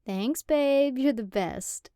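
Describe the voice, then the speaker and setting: A woman's voice: high-pitched, takes it slowly, mellow, dry recording. A young woman voicing an affectionate, chatty female demon girlfriend in an ASMR roleplay, rambling and sometimes dropping to a whisper, close to the mic. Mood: playful.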